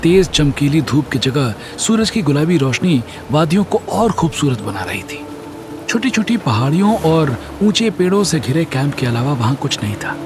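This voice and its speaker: A man's voice: fairly high pitch, very animated, moderate pace, noisy background. A man narrating a scripted audio drama series in a dramatic storytelling style. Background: music.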